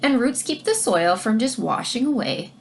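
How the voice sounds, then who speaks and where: A woman teacher reading a picture book aloud to children, pausing to explain things in simple, child-directed language. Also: on the high side, expressive, gravelly, slight background noise. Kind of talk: read-aloud lesson with explanatory asides.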